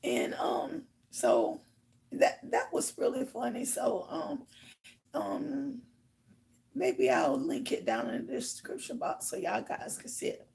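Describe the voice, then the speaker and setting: A woman hosting her own live talk show, chatting casually with viewers in a hoarse, raspy voice and rambling with lots of fillers. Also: high voice, very animated, slow, a little mellow. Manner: rambling, filler-heavy storytelling. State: hoarse from shouting. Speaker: livestream host.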